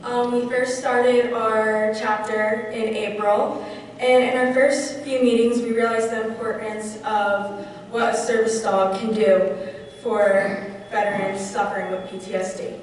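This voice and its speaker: A woman's voice: fairly high pitch, level tone, unhurried, slight background noise. Teen girl with a New Jersey accent reading aloud in a hall. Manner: reads from a script, earnest. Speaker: youth chapter representative. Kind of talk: reading a prepared fundraising speech. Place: large convention hall. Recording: echoey hall, distant mic.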